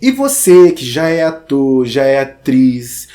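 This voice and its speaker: A man's voice: medium pitch, very animated, slow pace, dark tone. A Brazilian man giving an informal tutorial, talking straight to the viewer in a theatrical, conversational way. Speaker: theatre teacher and podcast host.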